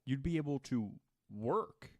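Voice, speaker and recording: A man's voice: medium pitch, expressive, a little slow, no room sound. Joking man in casual banter, dry sound. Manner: joking, informal. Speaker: podcast panelist.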